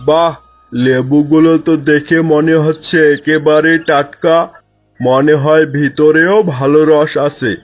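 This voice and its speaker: Slow, high-voiced sly village trader played by a man. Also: fairly even intonation, soft background music. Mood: shrewd, bargaining. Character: shrewd, bargaining, scheming village lemon trader. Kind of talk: Bengali cartoon dialogue.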